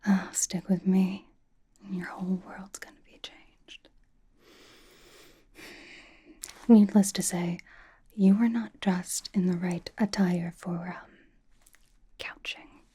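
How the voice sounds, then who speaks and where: Girl playing a vain k-pop star doting on a fan, asmr whisper, soft dark tone, unhurried, deadpan teasing. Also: on the low side. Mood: smug, excited. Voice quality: breathy whisper. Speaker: ASMR roleplay performer.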